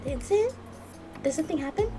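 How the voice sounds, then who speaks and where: A woman's voice: high voice, lively intonation, fast, over music, voice pushed up. A woman voicing a timid, sweet young heroine of an anime visual novel, hesitant and polite. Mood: shy, curious. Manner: hesitant, polite, easily flustered.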